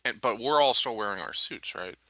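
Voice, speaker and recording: A man's voice: medium pitch, fairly quick, pushed and bright, no room sound. A man playing a tabletop game, casually debating details in an analytical, questioning way.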